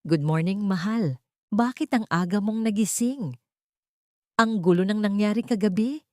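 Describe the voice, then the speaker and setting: A woman's voice: mid-range voice, a little slow, darkish tone, no echo, studio quality, voice pushed up, natural voice. A woman voicing a complacent, belittling husband in an audio drama, casual and condescending, mixing in English. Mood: breezy, dismissive.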